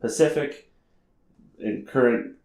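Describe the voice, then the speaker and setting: A man's voice: mid-range voice, slow pace, a little mellow. A man hosting an informal explainer video, talking in a wordy, meandering way. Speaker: video creator and host. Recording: roomy echo.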